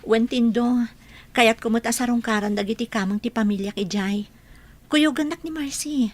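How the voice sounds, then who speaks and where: A woman's voice: fairly high pitch, moderate pace, natural voice. A woman playing a hospitable village woman in a radio drama, speaking with an Ilocano accent. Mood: friendly, inviting. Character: hospitable village woman showing visitors around.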